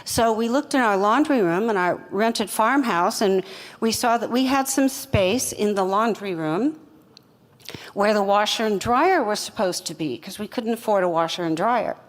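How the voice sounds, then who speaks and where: Earnest older woman in a reverberant hall. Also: medium pitch. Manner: earnest, reflective, measured storytelling. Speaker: wine company co-founder. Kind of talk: fireside chat interview answers.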